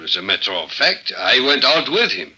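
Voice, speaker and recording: A man playing a defensive, quick-tempered mountain guide in an old radio drama, hesitant and touchy. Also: mid-range voice, sing-song, bright, forceful tone, slightly rough, full character voice. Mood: uneasy, defensive.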